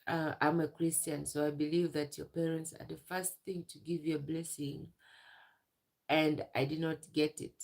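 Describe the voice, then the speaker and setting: A young woman on a live stream, tearful and emotional, talking in halting phrases and repeating herself. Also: low-pitched, little pitch movement, creaky, darkish tone. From Uganda. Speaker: livestreamer.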